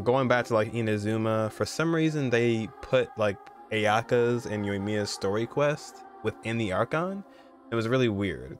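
A man in his twenties with an American accent, giving casual, conversational reaction commentary as a gaming streamer. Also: fairly low pitch. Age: 20s. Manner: conversational, repeats "you know" and "like". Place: indoor room, streaming setup.

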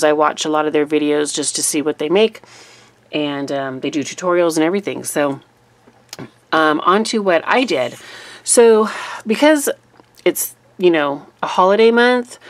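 Woman with a cold-deepened low voice. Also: dry recording. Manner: chatty, relaxed, with fillers. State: recovering from a cold, voice deeper than normal. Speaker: papercraft YouTuber. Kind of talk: casual monthly craft recap. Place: desk in a room, overhead camera on a cutting mat.

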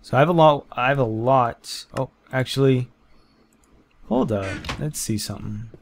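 A young man casually commentating his own game session, thinking aloud in halting bursts with lots of fillers and restarts. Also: medium pitch, creaky, slight background noise. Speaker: gameplay commentator. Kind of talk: casual let's-play commentary.

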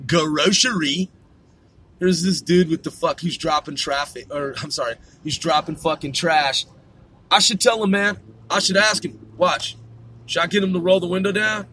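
A man's voice: a little high, bright, forceful tone, slight background noise. Swearing man ranting from his car. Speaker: podcast host. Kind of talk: profane rant while driving. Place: in a car on the road.

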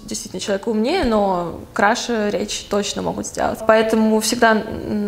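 A woman's voice: a little high, gravelly. A young woman answering viewer questions in a casual, chatty vlog, thinking aloud with frequent hesitations. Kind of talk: casual talking-head Q&A.

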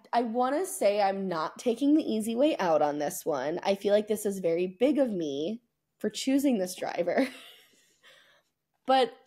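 A woman's voice: fairly low pitch, mellow. A young woman co-hosting a casual sports podcast, chatting playfully and with some self-deprecation over a video call. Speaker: podcast co-host. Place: indoor room, video call.

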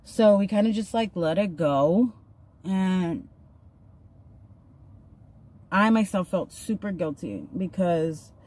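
A woman's voice: on the low side, lively intonation, slow pace, long pauses, darkish tone. A woman in her thirties telling a personal story in a chatty, earnest way while doing her makeup, recorded on a phone in a parked car. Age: 30s. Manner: chatty, filler-heavy, earnest. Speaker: vlogger. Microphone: phone camera at arm's length.

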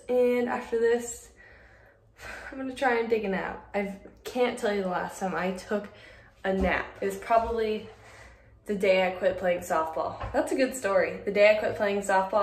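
A woman in her twenties chatting casually to a phone camera held at arm's length, upbeat and rambling with asides. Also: medium pitch. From Arizona. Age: 20s. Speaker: vlogger. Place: car, home and outdoor trail.

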